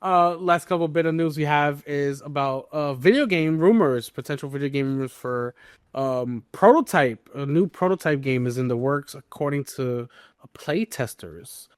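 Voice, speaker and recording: A man's voice: fairly high pitch, moderate pace, clear, resonant tone, no echo, clean studio sound. A man co-hosting a casual gaming podcast, talking emphatically and repeating his points for stress. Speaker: podcast co-host.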